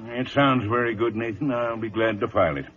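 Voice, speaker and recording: A man's voice: mid-range voice, a little fast, a bit bright, natural voice. A man playing an apologetic, fussing older army major in a radio western. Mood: weary, apologetic. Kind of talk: old-time radio western drama acting. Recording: muffled old radio broadcast recording.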